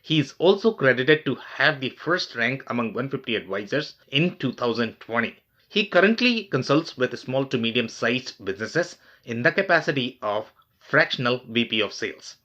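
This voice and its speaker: A man's voice: mid-range voice, lively intonation, moderate pace, sharp tone, highs cut off. A man hosting a business podcast, reading a formal scripted introduction and asking questions. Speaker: podcast host.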